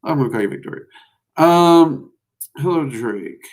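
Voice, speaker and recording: A man's voice: mid-range voice, animated, measured pace. A man with a Southern US accent hosting a casual livestream, answering viewers in a rambling, folksy way with lots of fillers. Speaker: livestream host teaching magic.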